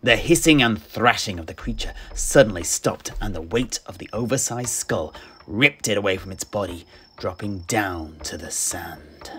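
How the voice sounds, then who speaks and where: A man narrating a fantasy tale in the first person, theatrical and deliberate, with long pauses. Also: lowish voice, expressive, noisy background. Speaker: storyteller, host.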